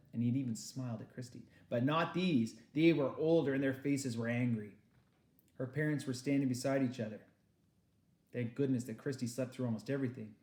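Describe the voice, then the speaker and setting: A man reading a children's novel aloud with expressive narration, recorded in an echoey room. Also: medium pitch. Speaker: read-aloud reader.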